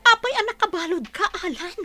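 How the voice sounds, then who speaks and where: Woman acting very high, bright, distressed young bride. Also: expressive, brisk, soft background music, heavily acted. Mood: bewildered, upset. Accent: Filipino. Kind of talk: melodramatic audio drama acting.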